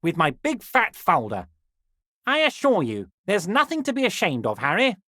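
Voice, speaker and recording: An actor playing a smarmy, scheming fat union boss in a video game, with a high-pitched, almost feminine voice and an unctuous, condescending, falsely reassuring manner. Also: male voice, wildly swooping pitch, pushed and bright, no room sound, clean studio sound, full character voice. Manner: unctuous, condescending, theatrically reassuring, drawn-out emphasis. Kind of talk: video game character dialogue.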